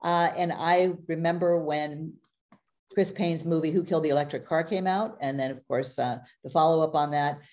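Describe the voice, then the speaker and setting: A middle-aged woman hosting an interview over a video call, chatty and quick to laugh. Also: deep voice, steady tone, a little mellow. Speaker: host.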